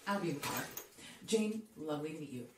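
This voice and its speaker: A woman playing a reluctant, grumpy and shy crew member in an audio drama, muttering tersely in a husky voice. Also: deep voice, expressive, fast, a little mellow, a little hiss or hum. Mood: grumbling.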